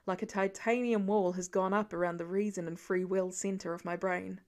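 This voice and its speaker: A woman voicing a weary, gentle middle-aged male archer with an earnest, reassuring, plain-spoken manner in an audiobook story. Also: mid-range voice, steady tone, normal speed, voice pushed down, lightly acted. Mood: steady, reassuring.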